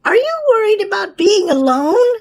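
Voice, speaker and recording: A woman's voice: very high-pitched, lively intonation, moderate pace, darkish tone, pitched above the performer's own voice. A woman voicing a caring, slightly weary grandmother, reassuring and practical, in a children's storybook. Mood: soothing. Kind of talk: children's storybook read-aloud.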